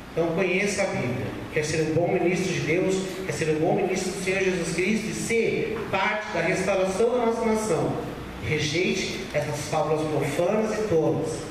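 A man in his thirties with a São Paulo Brazilian accent, preaching an earnest, exhorting church sermon, repeating phrases for emphasis. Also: sits high. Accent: Brazilian, São Paulo state. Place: church stage at a pulpit, indoors. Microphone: handheld mic through room speakers, far camera. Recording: echoey noisy hall, recorded from far off.

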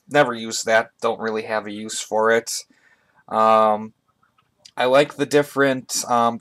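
A man giving a casual, conversational product review as a hobbyist, speaking haltingly off camera into a webcam at home. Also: medium pitch, a bit bright. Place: indoors, small room at home. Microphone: webcam on a tripod, speaker off camera.

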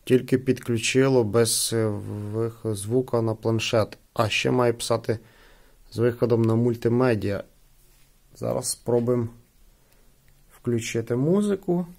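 Man, gravelly creak, casual product review, halting, thinking aloud. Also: mid-range voice, a little mellow. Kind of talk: casual unboxing commentary.

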